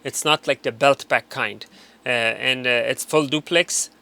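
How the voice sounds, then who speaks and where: A man presents products in a bright, forward voice at an ordinary male pitch. He talks off the cuff like an informal instructor, circling back and rewording his points to make them clear. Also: a little slow. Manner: unscripted, repeats and rephrases to explain. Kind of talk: informal explanatory product walkthrough.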